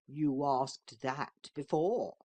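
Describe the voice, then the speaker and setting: A woman's voice: extremely low pitch, a little mellow, full character voice. A woman voicing a sly, boastful male talking horse that mocks and coaxes a boy. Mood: mocking. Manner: slow, coaxing, mocking.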